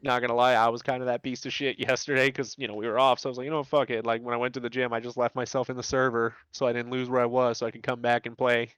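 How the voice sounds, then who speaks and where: A man's voice: mid-range voice, little pitch movement, fast, few pauses, fairly bright tone, clear, resonant tone, no echo. A man chatting casually about games in a laid-back, slangy way, swearing often as he explains things. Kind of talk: casual gaming chat.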